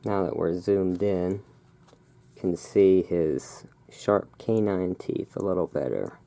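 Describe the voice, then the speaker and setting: A host giving a relaxed ASMR read-aloud, drifting into casual, musing asides. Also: low-pitched, slow, gravelly. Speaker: host reading and commenting on a magazine.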